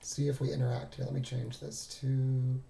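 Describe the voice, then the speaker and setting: A man hosting a trading walkthrough who talks casually and thinks aloud, halting and correcting himself, and is losing his voice. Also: medium pitch, level tone, creaky, dark tone, roomy sound, slight background noise. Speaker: trading streamer. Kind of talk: casual screen-share walkthrough.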